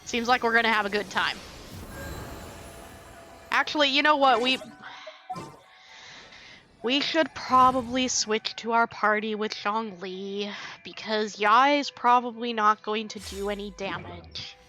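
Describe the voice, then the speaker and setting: A woman's voice: on the high side, unhurried, pauses often, bright, forceful tone, faint music behind. A woman giving casual, playful commentary as she streams a game, talking back to the characters. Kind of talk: casual live gameplay commentary.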